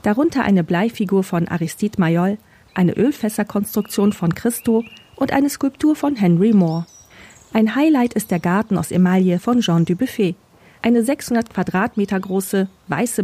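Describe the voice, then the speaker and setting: A woman's voice: mid-range voice, moderate pace, warm tone. A woman working as a radio reporter, reading scripted narration.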